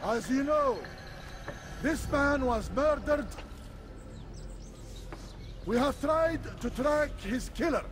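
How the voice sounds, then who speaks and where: Solemn funeral orator, very high voice, formal, declamatory, video game scene, music behind. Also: male voice, full character voice. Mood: accusing, rousing. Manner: declamatory, measured. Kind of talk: formal eulogy, public oration.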